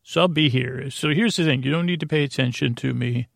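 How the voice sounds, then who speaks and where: A man hosting a sleep podcast, delivering a rambling bedtime monologue in a halting, self-interrupting way that drifts into tangents. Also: medium pitch, vocal fry, no room sound, clean studio sound. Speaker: podcast host.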